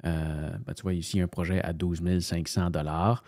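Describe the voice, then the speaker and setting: A man with a very low, gravelly voice and a Canadian French accent talks casually, thinking aloud with frequent fillers and repeated starts. The sound is dry and close, with no room around it. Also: fairly even intonation, moderate pace, darkish tone, dry recording. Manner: rambling, many fillers and restarts, thinking aloud. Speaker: voice-over narrator. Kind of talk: casual screen-share commentary.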